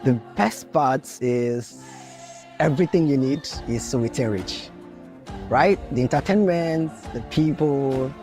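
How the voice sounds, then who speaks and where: A man's voice: mid-range voice, expressive, slow, darkish tone. A man student giving an enthusiastic promotional testimonial that lists what he loves. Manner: enthusiastic, listing things. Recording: music bed behind voice.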